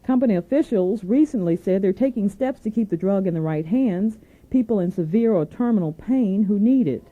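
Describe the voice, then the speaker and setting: A woman anchoring a scripted radio newscast, reading in a formal, measured way. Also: mid-range voice, animated. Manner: formal, measured newsreading delivery. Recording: narrow tinny AM radio aircheck.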